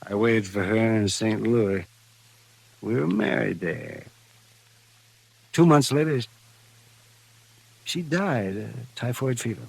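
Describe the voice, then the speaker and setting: A wry, haunted frontier doctor. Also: male voice, fairly low pitch, big pitch swings, slow, gravelly, pauses often, a little mellow, slightly rough, heavily acted. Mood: grave recollection. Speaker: actor in a western radio drama. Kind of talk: 1950s radio drama acting. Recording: vintage radio recording.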